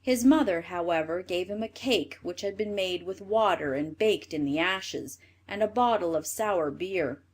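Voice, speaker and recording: A woman reading a fairy tale for children as an expressive storyteller. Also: on the low side, unhurried, few pauses. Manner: expressive read-aloud delivery.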